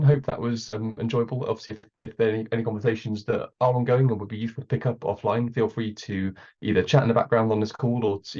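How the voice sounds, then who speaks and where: Man, hoarse from a cold, gravelly and rough, halting with ums; dull video-call audio. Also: lowish voice, a little mellow. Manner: hesitant, many ums. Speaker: meeting co-host. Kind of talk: online meeting facilitation. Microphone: computer mic on a video call.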